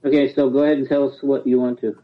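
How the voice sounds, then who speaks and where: Male hearing officer, mid-pitched, matter-of-fact, heard over a thin phone line. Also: level tone, quick pace. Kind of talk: procedural explanation.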